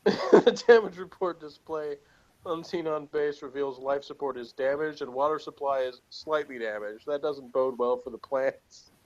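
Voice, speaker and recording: A man's voice: a little high, moderate pace, a little mellow. Guy reviewing a tv episode, snarky, keeps laughing, kinda dull muffled audio. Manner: sarcastic, chuckles often, reads from notes. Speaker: podcast host reviewing an episode. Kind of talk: casual review chat.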